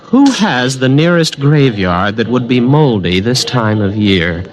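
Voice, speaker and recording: Casting: anxious student narrator, lively swings in pitch, obsessive repetitions, vintage radio drama with music. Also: male voice, measured pace. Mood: distracted, jittery. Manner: jittery repetitions, dramatic brooding. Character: nervous young male student, haunted, obsessive narrator. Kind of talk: old-time radio drama acting with narration.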